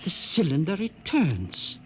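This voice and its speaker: An actor playing an elderly scientist, a worried and protective father, in a 1950s radio drama, speaking in a halting, pleading way. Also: heavily acted. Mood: instructive. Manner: halting, pleading, lively pitch. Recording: hissy old radio recording.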